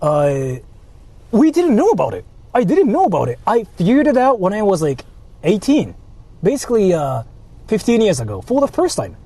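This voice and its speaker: A man's voice: high-pitched, wildly swooping pitch, normal speed, dark tone. A man in his thirties from Japan, chatting casually and crudely with viewers while driving. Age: 30s. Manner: rambling, profane, joking with viewers. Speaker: vlogger. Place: inside a car on city streets. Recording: road noise in a car.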